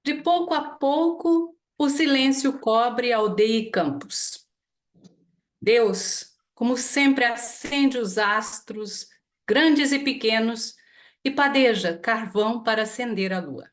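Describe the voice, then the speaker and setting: A woman reciting a poem with measured, deliberate phrasing during an online reading. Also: a little high. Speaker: poetry reader and series co-curator. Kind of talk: poetry recitation. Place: video call.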